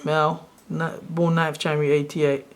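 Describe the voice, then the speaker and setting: A soft-spoken man in his thirties, originally from Sri Lanka, casually walking through his own screen in a loose, rambling way. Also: a little high, level tone, moderate pace. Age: 30s. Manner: rambling, loose and self-correcting. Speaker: channel host. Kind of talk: casual screen-share walkthrough.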